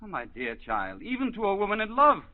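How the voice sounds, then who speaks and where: A gruff, sardonic old psychoanalyst. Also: male voice, sits high, wildly swooping pitch, a little fast. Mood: scolding. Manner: sardonic, scolding, mocking. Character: gruff older male psychoanalyst mentor, teasing, stubborn. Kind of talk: 1940s radio acting. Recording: dull 1940s radio broadcast.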